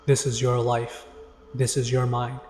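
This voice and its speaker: A man leading a guided meditation in a calm, deliberate, instructive way with pauses. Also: medium pitch, a little hiss or hum. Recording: echoey long reverb, music behind. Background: background music.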